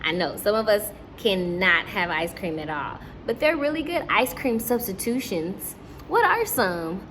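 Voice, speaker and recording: A woman's voice: medium pitch, measured pace. Encouraging woman storytime teacher, noisy recording. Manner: animated, encouraging, addresses listeners as friends. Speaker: teacher and children's storytime host. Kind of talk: read-aloud storytime with asides to young children and caregivers.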